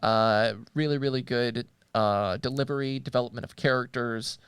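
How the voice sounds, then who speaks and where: A man's voice: medium pitch, steady tone, moderate pace, fairly bright tone, clear, resonant tone, no room sound. A man co-hosting a show in casual discussion, speaking hesitantly and thoughtfully as he searches for words. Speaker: co-host of a media show.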